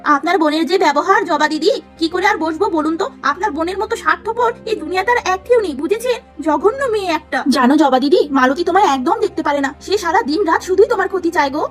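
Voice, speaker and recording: A woman's voice: extremely high pitch, animated, quick pace, hardly any pauses, faint music behind, voice pushed up. A woman voicing blunt, gossipy village girlfriends who criticize openly.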